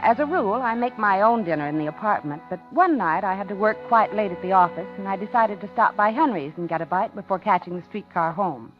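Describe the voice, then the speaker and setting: An American actress playing a polite, uneasy widowed office worker in a 1950s radio suspense drama, confiding and genteel. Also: female voice, mid-range voice, fast, few pauses, lightly acted. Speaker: radio drama lead and narrator. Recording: muffled 1950s radio recording, organ music.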